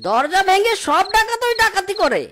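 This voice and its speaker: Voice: extremely high pitch, very animated, normal speed, noisy background. An actor voicing a boastful, bossy male fox bandit boss in a cartoon, full of exclamations. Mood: blustering. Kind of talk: cartoon voice acting. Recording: loud music under the voice.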